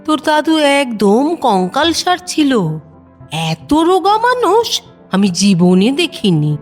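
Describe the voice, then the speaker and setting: An actress playing an affectionate, mischievous grandmother in a Bengali audio drama, teasing and wry in an unhurried way. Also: sits high, big pitch swings, faint music behind, exaggerated voice. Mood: amused, nostalgic.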